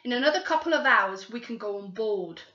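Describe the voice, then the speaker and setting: A woman voicing a gruff middle-aged male detective who speaks in blunt, impatient commands. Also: on the high side, lively intonation, a little fast, sharp tone. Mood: brusque. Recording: roomy, slightly dull recording.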